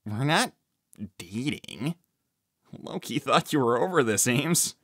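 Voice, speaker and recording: Woman voicing cocky teen male hedgehog, low and slow, brushes everything off. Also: lively intonation, a bit bright, slightly rough, dry recording, studio quality, heavily acted. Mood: flippant. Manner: flippant, teasing, dismissive. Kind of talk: fan-made cartoon voice acting.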